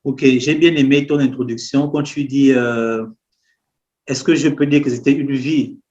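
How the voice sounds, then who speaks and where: A man talks as the host of an interview. He is conversational, with a medium-pitched voice. The recording is slightly muffled and lo-fi. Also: fairly even intonation, a little slow, darkish tone.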